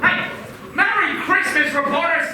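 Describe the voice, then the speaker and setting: A woman's voice: very high-pitched, unhurried, a little hiss or hum, heavily acted. A woman playing a grown man overcome with joy in an amateur stage radio play. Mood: joyful. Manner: exuberant, exclamatory. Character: George Bailey, an overjoyed grown man. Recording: distant, very echoey stage recording with loud music.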